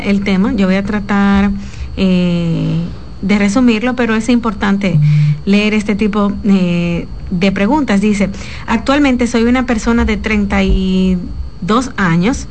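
A woman's voice: medium pitch, takes it slowly, darkish tone, slight background noise. A woman hosting a talk show, asking questions and reading a listener's letter aloud in a chatty way.

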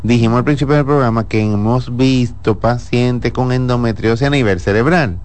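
A man, a gynecologist who answers listeners on a radio health show in a calm, explanatory way. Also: medium pitch, normal speed. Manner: calm, explanatory, laughs easily.

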